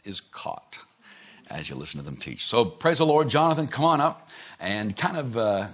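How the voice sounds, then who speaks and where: A man's voice: mid-range voice, big pitch swings, normal speed, fairly bright tone. A man pastor opening a church staff meeting with a prayer and then speaking emphatically to his team.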